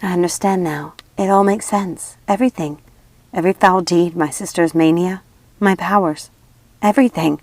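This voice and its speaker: A woman's voice: on the low side, moderate pace, a little mellow, slight background noise, close to an everyday voice. A woman voicing an anxious young sorceress in a storybook, desperate, earnest and urgent. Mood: stunned. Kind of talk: storybook character acting.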